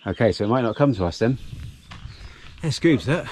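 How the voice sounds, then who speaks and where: A man's voice: medium pitch, big pitch swings, normal speed, darkish tone, slight background noise. A middle-aged man, chatting casually to camera and his dog while hiking a mountain ridge outdoors. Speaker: hiking vlogger. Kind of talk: casual outdoor vlog commentary. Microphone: action camera on a pole.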